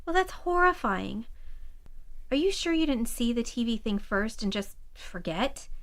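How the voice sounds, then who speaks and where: A woman's voice: a little high, very animated, measured pace. A woman playing a young kitchen witch in an audio drama, frank and wry but ready to help. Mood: doubtful, uneasy. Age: young adult. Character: blunt, skeptical but helpful young kitchen-witch friend.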